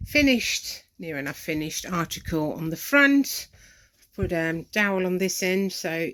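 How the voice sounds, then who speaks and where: A woman with a nasal, congested voice from a cold, giving a casual, matter-of-fact progress update as a DIY vlogger. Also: mid-range voice. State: has a cold. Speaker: boat renovation vlogger.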